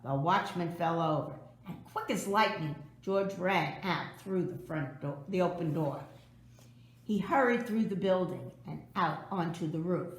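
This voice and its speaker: Lady reading a kids story out loud, super expressive, takes her time, bit roomy sounding. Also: fairly low pitch. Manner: animated, dramatic emphasis and drawn-out words. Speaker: storybook reader. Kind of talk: expressive children's storybook read-aloud.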